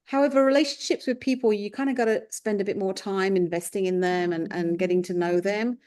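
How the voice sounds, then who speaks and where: A woman's voice: mid-range voice, fairly even intonation, brisk, darkish tone, clear, resonant tone. Thoughtful Englishwoman in her fifties. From England. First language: Punjabi. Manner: thoughtful, circling back with restarts. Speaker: guest, life coach. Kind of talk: reflective podcast conversation.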